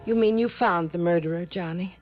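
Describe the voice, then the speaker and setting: A woman's voice: fairly low pitch, a little fast, loud music behind the voice, natural voice. An actress playing a scheming young woman in 1950s radio drama style. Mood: anxious, breathless relief. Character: scheming young woman feigning relief, then pleading. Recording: muffled, faintly noisy vintage radio recording.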